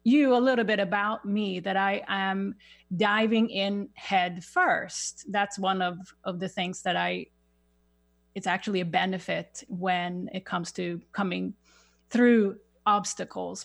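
Swedish accent woman, slow and halting, kinda gravelly voice. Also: medium pitch. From Sweden. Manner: halting, searches for words. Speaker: guest, spiritual life coach. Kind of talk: radio interview.

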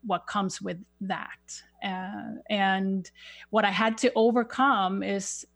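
Swedish-accented woman, radio guest, slow halting delivery, creaky tone. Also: mid-range voice, fairly even intonation, a bit bright, faint music behind. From Sweden. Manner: halting, searches for words. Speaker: guest, spiritual life coach. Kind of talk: radio interview.

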